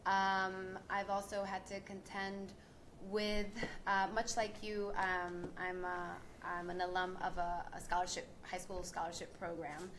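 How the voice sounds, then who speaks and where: A woman from New York City speaking earnestly as a panelist about her own experience, with deliberate pauses. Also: mid-range voice, level tone, a bit bright, slight background noise. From New York City, United States. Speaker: panelist, artist. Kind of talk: reflective personal account at a panel discussion.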